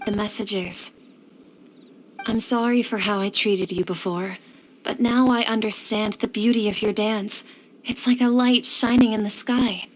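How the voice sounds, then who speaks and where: A woman playing a courteous, composed scholarly lady in an anime game, speaking in a polite, measured, formal way. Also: mid-range voice, fairly even intonation, slight background noise, lightly acted, poor audio. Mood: sincere.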